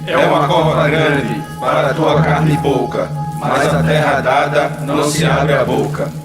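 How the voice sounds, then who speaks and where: A man's voice: a little high, steady tone, lightly acted. Man acting a grave farmhand, echoing funeral chant. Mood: grave, mournful. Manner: grave, measured, declamatory. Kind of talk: half-sung funeral verse. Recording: echoey, with loud music behind.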